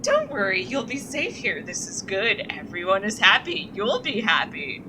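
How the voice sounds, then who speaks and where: A young woman streaming a game, chatty and playful, reacting quickly to the story. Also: medium pitch, wildly swooping pitch, background noise. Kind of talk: live game commentary. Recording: voice with game music behind.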